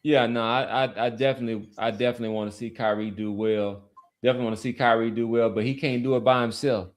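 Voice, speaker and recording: Male podcast panelist, average pitch, conversational sports talk, pushes back and restates his points. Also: fairly even intonation, fast. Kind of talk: casual sports debate.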